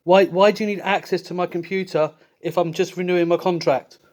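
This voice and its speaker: Man, blunt, skeptical, confronting a caller, higher voice. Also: fairly even intonation, brisk, a little mellow. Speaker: call recipient confronting a scammer.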